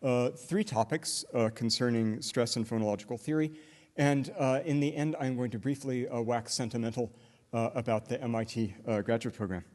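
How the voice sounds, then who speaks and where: A man's voice: medium pitch, fairly even intonation, normal speed, darkish tone. Man giving a hesitant academic lecture. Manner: hesitant, many "uh" fillers and restarts; explanatory, walks through examples step by step. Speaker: linguistics lecturer. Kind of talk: academic conference talk.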